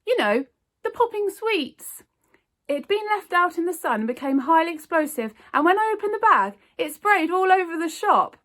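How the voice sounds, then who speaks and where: A woman voicing a bold, quick-thinking young girl who talks fast and brightly. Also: very high-pitched, sing-song, pitched above the performer's own voice, heavily acted. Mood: fibbing.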